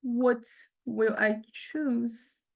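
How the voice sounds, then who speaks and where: A woman lecturer giving an informal software demonstration, speaking haltingly and often repeating her words as she explains. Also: on the high side, fairly even intonation, vocal fry. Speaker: music technology lecturer.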